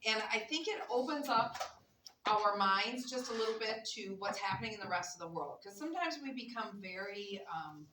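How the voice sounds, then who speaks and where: A woman's voice: mid-range voice, animated, moderate pace. A woman instructor chatting to her class as she introduces a guest speaker, with some fillers. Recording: echoey classroom from far off with noise.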